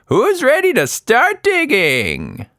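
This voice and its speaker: A man's voice: extremely high pitch, wildly swooping pitch, slow, a bit bright, no room sound, studio quality, voice pushed up, heavily acted. A man voicing an upbeat, busy grown woman who asks questions cheerily in a children's story. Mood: cheerful, prodding.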